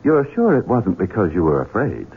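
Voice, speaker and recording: Cold, scheming deep-voiced heir. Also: male voice, wildly swooping pitch, normal speed, slightly rough, exaggerated voice. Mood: menacing. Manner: cold, menacing, insinuating. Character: scheming, cruel young heir. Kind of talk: 1970s radio drama acting. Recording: tinny lo-fi radio broadcast.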